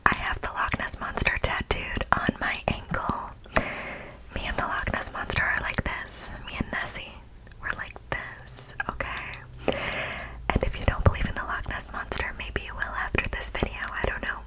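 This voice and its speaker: A woman hosting ASMR in close whispers to the microphone, chatting casually and excitedly and often repeating words. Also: moderate pace, poor audio. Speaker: ASMR video host.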